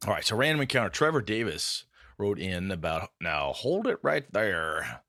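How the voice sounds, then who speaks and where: A man with a low-pitched voice co-hosts a gaming podcast and reads listener letters aloud. He talks in a jokey, easygoing way, and the room is dry and close. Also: big pitch swings, moderate pace, bright, forceful tone, no room sound. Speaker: podcast co-host. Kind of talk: casual chat, reading listener mail.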